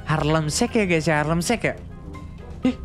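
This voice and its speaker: Male gaming creator, Jakarta slang, teasing and casual, expressive melody, game music underneath. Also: on the high side, moderate pace. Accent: Jakarta-style colloquial Indonesian. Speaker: gaming video creator commentating for viewers. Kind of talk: casual roleplay gameplay commentary.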